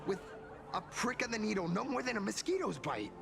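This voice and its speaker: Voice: medium pitch, very animated, creaky, background noise. Stammering, nervous cult insider. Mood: uneasy. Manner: stammering, halting.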